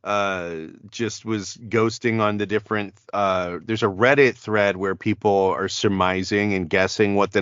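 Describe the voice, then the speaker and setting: A man's voice: fairly low pitch, fairly bright tone, clear, resonant tone, no room sound, muffled recording. A man, a voice actor, chatting as a podcast guest, rambling with many fillers and restarts. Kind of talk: casual podcast interview.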